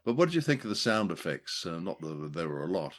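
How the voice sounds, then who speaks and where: A man co-hosting a podcast in casual, unscripted discussion, with halting, hesitant phrasing. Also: low voice, sing-song. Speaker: podcast co-host.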